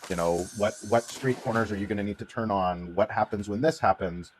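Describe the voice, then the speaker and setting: A man's voice: fairly low pitch, brisk, slight background noise, poor audio. A man co-hosting a casual livestream, talking reflectively and often searching for his words. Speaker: livestream co-host.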